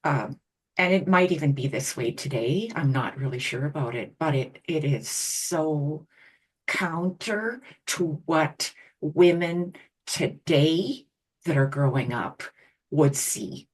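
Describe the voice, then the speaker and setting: A woman hosting an interview, asking her guest questions in a measured, thoughtful way. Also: low-pitched, lively intonation, breathy edge. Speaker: podcast host.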